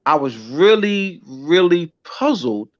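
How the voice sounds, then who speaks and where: Man, halting and somewhat high-pitched. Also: big pitch swings. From United States. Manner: halting, many restarts and fillers. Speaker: schoolteacher guest. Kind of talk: casual interview talk. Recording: dull lo-fi recording.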